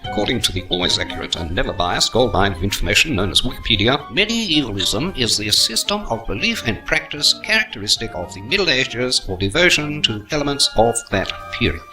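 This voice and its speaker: A man's voice: medium pitch, very animated, fairly quick, few pauses, close to an everyday voice. A man playing a wry, mock-authoritative narrator of a comic audio drama. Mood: dry, mocking. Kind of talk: tongue-in-cheek scripted narration. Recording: distant voice over music.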